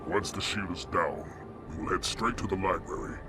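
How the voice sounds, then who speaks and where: An actor voicing a huge, menacing alien warrior chieftain in a game cinematic, with a guttural growl and a taunting, sneering delivery. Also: male voice, low voice, natural voice. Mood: commanding. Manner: taunting, deliberate. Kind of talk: video game cinematic acting. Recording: loud music behind the voice.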